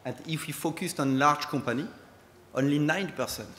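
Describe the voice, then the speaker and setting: A man's voice: fairly high pitch, fairly bright tone, a little hiss or hum. French-accented man presenting in a hall. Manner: hesitant, halting English with fillers. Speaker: conference speaker, company head. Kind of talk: conference presentation. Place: large conference hall. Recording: echoey hall with long reverb.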